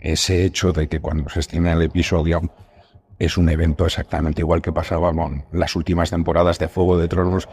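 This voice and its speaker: A deep-voiced man with a Spanish accent from Spain talks as a guest in a casual interview. He rambles as he explains things and pokes fun at himself. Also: flat delivery, fairly quick, darkish tone, slightly rough. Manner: rambling, self-deprecating. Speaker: podcast guest, writer and creator of audio fiction.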